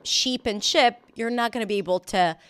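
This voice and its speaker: A woman's voice: a little high, moderate pace. A woman whose first language is Hebrew, a pronunciation teacher explaining her approach in an earnest, conversational interview. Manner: earnest, explanatory, with frequent restarts.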